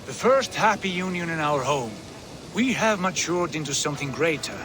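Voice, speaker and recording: A man playing a calm Viking brother. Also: on the high side, normal speed, background noise, lightly acted. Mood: thoughtful. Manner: calm, thoughtful. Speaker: brother of the clan leader. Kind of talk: video game voice acting. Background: music.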